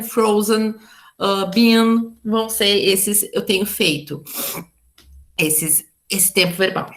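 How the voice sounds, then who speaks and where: A woman's voice: medium pitch, creaky, a bit bright. A Brazilian woman teaching an English grammar class online, chatty and explaining with frequent asides and checks like "tá?". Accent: Brazilian Portuguese.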